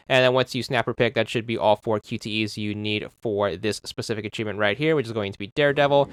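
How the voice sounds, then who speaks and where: Male walkthrough narrator with a smooth voice, dry close-mic sound, calm instructive delivery. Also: mid-range voice, no room sound. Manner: steady, instructive, repeats "just make sure". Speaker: game walkthrough guide. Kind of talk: instructional game commentary.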